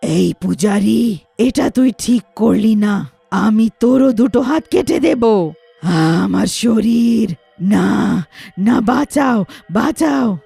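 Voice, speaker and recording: A woman's voice: a little high, animated, takes it slowly, vocal fry, husky, dry recording, exaggerated voice. Lady doing a wronged witch ghost, wailing and threatening, very dramatic, horror story style, music behind. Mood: furious, threatening. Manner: loud, dramatic, menacing threats and wails. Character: vengeful wailing handless witch, ghost of a wronged young woman. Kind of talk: children's horror moral story acting.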